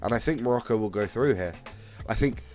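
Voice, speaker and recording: Host: man, lowish voice, raspy from a cold, casual chat, faint music under. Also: fairly quick, a little mellow. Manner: chatty, frequent fillers and restarts. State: ill, slightly hoarse. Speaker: football channel host. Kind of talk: casual predictions talk to camera.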